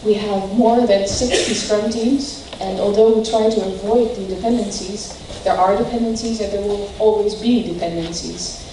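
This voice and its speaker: A woman's voice: mid-range voice, fairly even intonation, distant mic, noisy background. Woman host in a very echoey hall. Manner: halting, many fillers. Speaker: event host introducing speaker. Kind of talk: speech introduction. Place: large hall.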